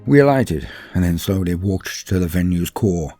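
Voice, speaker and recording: A man narrating a story in a solemn, theatrical, dramatic style with a husky voice and drawn-out phrases. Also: low-pitched, expressive, warm tone. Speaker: storyteller narrating fan fiction. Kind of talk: dramatic scripted first-person narration. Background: music.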